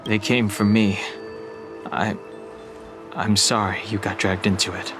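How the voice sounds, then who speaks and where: A man's voice: fairly low pitch, flat delivery, measured pace, natural voice. A man playing a reserved, courteous young warrior in a video game, with a formal, restrained delivery. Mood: earnest, restrained. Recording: game music behind the voice.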